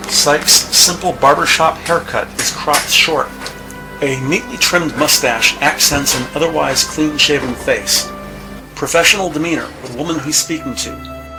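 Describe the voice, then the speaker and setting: A man reading scripted audio drama narration in a measured, descriptive storytelling manner. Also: on the high side, low-quality recording. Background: music.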